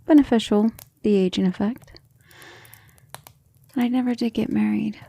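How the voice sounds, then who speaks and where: A woman playing a centuries-old vampire lover in an intimate ASMR roleplay, breathy and often near a whisper, speaking with refined, devoted affection. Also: medium pitch, warm tone, no echo. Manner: affectionate, refined, hesitant musing.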